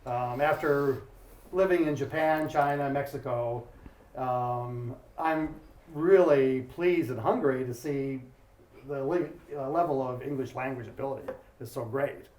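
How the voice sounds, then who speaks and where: A man's voice: mid-range voice, measured pace, a little mellow. Man from Ohio, welcome speech, lots of fillers, echoey hall. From Ohio, US Midwest. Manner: informal, many fillers, self-deprecating jokes. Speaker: embassy commercial counselor. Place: embassy event room. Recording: echoey room.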